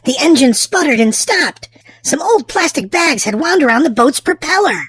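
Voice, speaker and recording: A woman hosting and narrating a children's storybook aloud, with theatrical, drawn-out emphasis for small children. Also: high-pitched, lively intonation. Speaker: children's storybook reader and channel host. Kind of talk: expressive read-aloud narration for young children.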